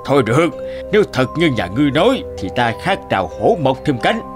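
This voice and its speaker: A menacing owl chieftain acted by a man rules with haughty commands. His cartoon fairy-tale delivery swings in pitch, over faint music. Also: very animated, a little fast, close to an everyday voice. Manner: commanding, threatening.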